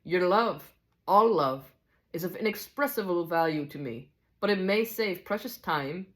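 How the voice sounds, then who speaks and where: A woman voicing a wise old Scottish teacher, patient and deliberate, with an attempted Scottish accent and old-fashioned phrasing. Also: on the low side, lively intonation, a bit bright. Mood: gently firm.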